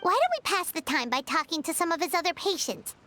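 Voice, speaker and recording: A woman's voice: big pitch swings, fast, sharp tone, faint music behind, full character voice. A woman voicing a small, chirpy, blunt childlike fairy companion in an anime video game. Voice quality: squeaky, childlike. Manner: speaks of self in third person.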